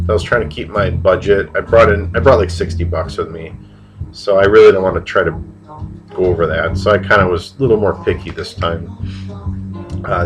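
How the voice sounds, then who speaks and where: Man, very low voice, nasal from a cold, rambling vlog chat, guitar music behind. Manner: rambling, halting, many fillers and restarts. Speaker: vinyl record collector showing finds. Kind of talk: casual video vlog.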